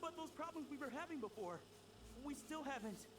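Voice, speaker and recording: An actor playing a nervous young accomplice who asks fretful questions. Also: male voice, extremely high pitch, animated, brisk. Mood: anxious. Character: nervous young male accomplice, anxious and hesitant. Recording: game audio with background noise.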